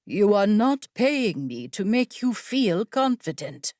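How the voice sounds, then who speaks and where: A woman's voice: mid-range voice, sing-song, takes it slowly, darkish tone, no echo. A woman voicing a stern, scowling female desert guide for an audiobook, blunt, dry and condescending. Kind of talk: audiobook character voice.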